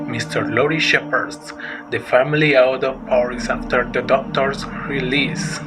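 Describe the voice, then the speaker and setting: Male presenter for scripted literary explainer, measured slow delivery, medium pitch, prominent music underneath. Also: poor audio. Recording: loud music over the voice. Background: loud music.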